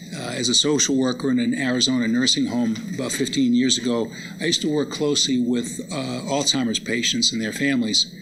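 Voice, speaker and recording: A man's voice: mid-range voice, fairly bright tone. A middle-aged man giving a public talk, introducing and reciting poems at a live lecture. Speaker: college lecturer and poet presenting readings. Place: live lecture event before listeners. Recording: noisy live hall recording.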